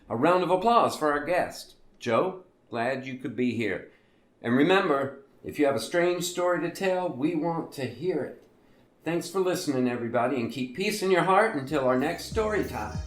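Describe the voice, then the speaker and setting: A man's voice: medium pitch, natural voice. A man playing a curious, sympathetic host of a strange-story show in an audio drama, often repeating his words. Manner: hesitant, repeats words, encouraging. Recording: roomy, echoey voice recording.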